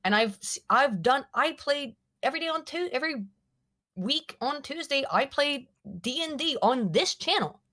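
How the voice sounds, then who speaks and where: A woman hosting a casual political chat stream, talking in animated, rambling bursts full of restarts and self-corrections. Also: high-pitched, normal speed. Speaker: stream host. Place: lives in the UK.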